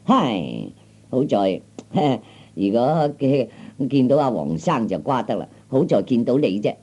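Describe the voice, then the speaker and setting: A glib young Cantonese chancer in a sixties radio comedy. His voice is dark and soft-edged, swinging widely in pitch as he wheedles and stammers his way along. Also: sing-song, unhurried, dull audio. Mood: chatty, pleased. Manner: stammering, chuckling, obsequious. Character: glib, wheedling young man, broke bodyguard and fiancé.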